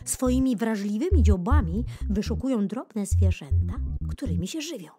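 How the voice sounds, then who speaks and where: A woman narrating a children's nature book in an expressive audiobook style, with playful, dramatic pauses and emphasis. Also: mid-range voice. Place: studio. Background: keyboard music.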